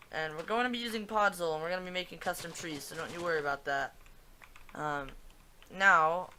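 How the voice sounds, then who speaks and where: A man's voice: on the high side, bright, forceful tone, slight background noise. A man hosting a casual gaming video, thinking aloud in a loose, rambling way as he talks over his own footage. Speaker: gaming channel host. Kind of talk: casual gameplay commentary.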